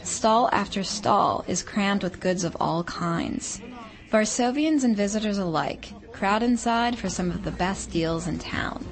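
A woman radio reporter reading a scripted feature report in a measured, descriptive way. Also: mid-range voice, a crowd in the background. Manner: measured, descriptive storytelling delivery.

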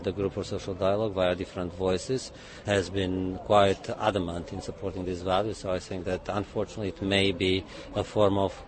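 Deep, flat-voiced man giving comment. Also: brisk, hardly any pauses, a crowd in the background. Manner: hesitant, with fillers and restarts. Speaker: interviewee, commentator. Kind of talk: spoken interview clip.

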